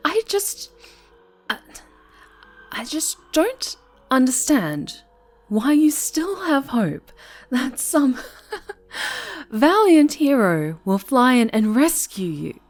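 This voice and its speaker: A woman playing an obsessive, possessive dark-angel superheroine turned villain in an intimate ASMR roleplay, half-whispering with menacing tenderness. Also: high voice, very animated, drawn-out words, pauses often, exaggerated voice. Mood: unhinged, shaken.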